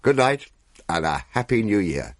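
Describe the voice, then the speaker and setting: An actor playing a pompous, flustered Victorian gentleman with an English accent, telling his tale in a florid, wordy, indignant style. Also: fairly low pitch, sing-song, normal speed, no echo. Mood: grave, wounded dignity. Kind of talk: radio comedy drama, theatrical storytelling.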